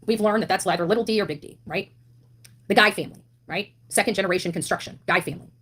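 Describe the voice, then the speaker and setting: A woman's voice: medium pitch, quick pace, fairly bright tone, husky. A woman delivering an impassioned monologue as an online commentator, blunt and indignant, leaning on rhetorical questions and emphatic repetition. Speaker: online commentator on a missing-child case.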